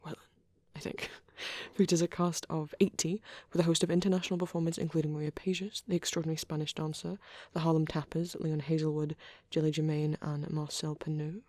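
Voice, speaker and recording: A man playing a shy, soft-spoken vampire boy in an intimate ASMR roleplay, rambling hesitantly and bashfully close to the microphone, often near a whisper. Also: fairly high pitch, little pitch movement, brisk, few pauses, dry recording, lightly acted. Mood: softly enthusiastic. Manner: hesitant, bashful, softly nostalgic, often trailing off.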